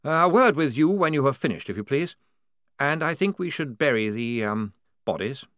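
A man's voice: mid-range voice, animated, moderate pace, a bit bright, no echo, clean studio sound, voice pushed up, lightly acted. A man voicing a pale, fussy, polite young male officer with formal manners.

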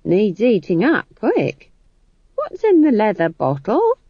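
A woman's voice: medium pitch, huge pitch swings, takes it slowly, dry recording, dull audio, pitched above the performer's own voice, exaggerated voice. Woman doing a bossy old witch for a storybook, cheery n blunt, voice goes up and down loads. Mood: chatty, bossy. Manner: folksy, blunt, chatty. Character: brash, bossy, cheerful old witch posing as humble wood-gatherer.

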